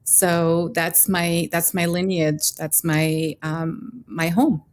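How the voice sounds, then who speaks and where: A woman's voice: fairly low pitch, little pitch movement, slow. A middle-aged woman with a Moroccan accent, chatting as a podcast guest in a hesitant, reflective way. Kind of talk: casual interview chat.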